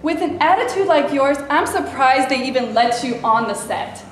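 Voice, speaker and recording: Lady reading a brassy snide actress gal, high voice, super echoey stage. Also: animated, moderate pace. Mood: taunting. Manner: brassy, sarcastic, condescending. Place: theater stage before a live audience. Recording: very echoey stage, faint room noise.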